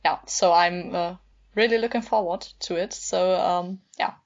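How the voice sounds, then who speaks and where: A woman's voice: fairly low pitch, fairly even intonation, measured pace, creaky. A woman chatting casually as a podcast guest, improvising in a tentative, hesitant manner. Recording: dull, muffled sound.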